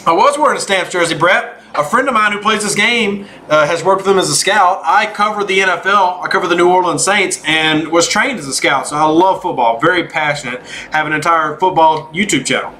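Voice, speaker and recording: A man's voice: sits high, a little fast, few pauses, bright, forceful tone, slight background noise. A man hosting a game livestream, chatting casually and informally as he answers viewers by name.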